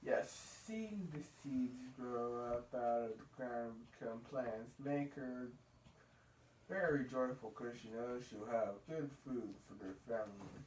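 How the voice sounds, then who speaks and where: A young male puppeteer voicing a friendly, kindly bear cub puppet, reading children's show lines haltingly. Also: mid-range voice, a little mellow, natural voice. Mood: earnest. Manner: halting read-aloud delivery. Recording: noisy, echoey room, distant mic.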